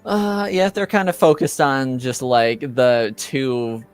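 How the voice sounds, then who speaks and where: Conversational male game master, middling pitch. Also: lively intonation, slow pace, clear, resonant tone, soft background music. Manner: loose, hedging, conversational. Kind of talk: casual improvised game narration.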